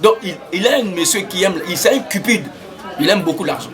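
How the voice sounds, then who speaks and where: A man with a Congolese French accent speaks up in a high voice, indignant and emphatic. He keeps stumbling over repeated words as he presses his point. A thick crowd chatters all around him out on the street. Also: expressive, brisk. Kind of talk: heated street interview.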